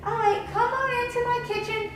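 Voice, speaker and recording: A friendly baker puppet lady, acted out by a woman, speaks in a very high voice with lots of lilt. She guides patiently and folksily, step by step, for a young audience. The recording is distant and heavily echoing, with noticeable noise. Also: steady tone, normal speed. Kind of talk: children's educational puppet show. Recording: echoey room, distant mic, noisy.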